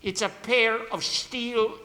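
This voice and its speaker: A man playing a befuddled, excitable post office customer in a 1940s-style radio comedy, halting and drawn-out. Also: very high-pitched, heavily acted. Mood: befuddled insistence. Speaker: amateur radio play performer. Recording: reverberant hall, faint noise.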